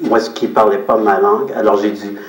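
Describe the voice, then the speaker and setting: A man answering interview questions as a guest, explaining his outlook in a reflective, measured way and repeating phrases for emphasis. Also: lowish voice, level tone. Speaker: interview guest, photographer and business owner. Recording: roomy echo, distant microphone.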